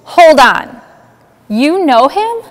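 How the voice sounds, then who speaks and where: Lady acting as nice level-headed agent gal, higher voice, sincere kids skit delivery. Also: sing-song, drawn-out words, a little hiss or hum, exaggerated voice. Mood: curious. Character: kind, level-headed young female agent, gentle moral guide. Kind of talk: children's church skit acting.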